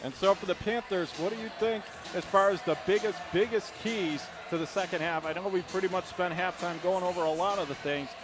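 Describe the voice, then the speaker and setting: A man doing live play-by-play basketball commentary on the radio in animated, clipped bursts. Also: high voice, fairly quick, hardly any pauses. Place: indoor basketball arena.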